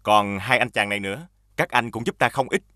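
A man voicing a genial, dignified government official with a hearty, formal manner in an animated fairy tale. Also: on the low side, a little fast, no echo, studio quality. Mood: approving.

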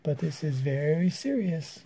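A man's voice: a little high, big pitch swings, a little slow, dark tone, slight background noise. A man voicing a commanding, earnest chief frog in a storybook, formal and emphatic.

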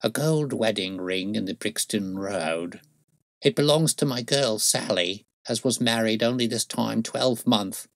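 Man putting on a wheedling old woman, pitched high. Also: no echo, lightly acted. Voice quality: harsh. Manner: rambling, humble, folksy phrasing. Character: wheedling, garrulous very old working-class woman. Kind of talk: audiobook character voice.

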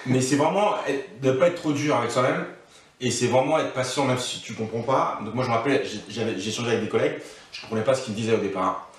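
A man's voice: medium pitch, quick pace, a little hiss or hum. A man in his thirties giving casual advice as an interview guest, thoughtful and full of restarts. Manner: thoughtful, many restarts and fillers. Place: living room, on a couch. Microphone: far camera mic. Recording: echoey room, from a distance.

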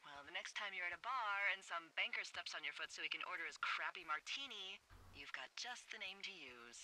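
An actress playing a wry, teasing American fire lookout in a video game, sarcastic and playful over a walkie-talkie. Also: female voice, lowish voice, expressive, a little fast, bright, forceful tone, slight background noise, poor audio. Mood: teasing, salty. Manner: sarcastic, playful, salty-tongued. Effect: walkie-talkie radio. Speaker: game character, radio contact. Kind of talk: naturalistic game voice acting.

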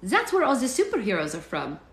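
A woman voicing an eager, curious ten-year-old boy in a story, with an attempted German accent and an animated manner. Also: mid-range voice, fairly quick, voice pushed up. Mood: earnest. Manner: animated, giddy, wide-eyed. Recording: echoey room.

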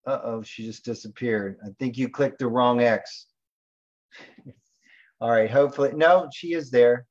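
A man's voice: mid-range voice, measured pace. A man hosting an online training call, talking through a screen share in an informal, rambling way as he thinks aloud, with lo-fi call audio. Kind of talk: casual screen-share presentation.

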